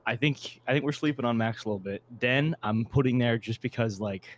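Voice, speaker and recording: A man's voice: mid-range voice, a little fast, slight background noise. A man casually explaining his predictions at length as a podcast panelist, with plenty of opinions. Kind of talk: casual discussion. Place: online call, talking at a computer.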